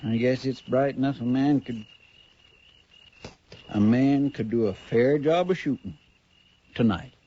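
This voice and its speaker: Actor as a folksy frontier deputy, deferential, homespun, mid-pitched voice, 1950s radio drama, lo-fi broadcast with hiss. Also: male voice, measured pace, long pauses, lightly acted. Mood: easygoing. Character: loyal, folksy frontier deputy sidekick, adult man.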